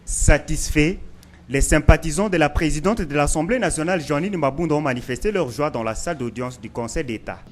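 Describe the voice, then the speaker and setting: A man's voice: fairly high pitch, soft background music. Man reading a news report. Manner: measured, formal reading.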